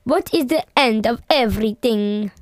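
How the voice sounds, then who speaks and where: A teenage girl with a high-pitched voice co-hosts a student podcast. Her talk is casual and playful, with an amateur stab at an Italian accent. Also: moderate pace, dry recording. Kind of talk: casual chat, posing riddles.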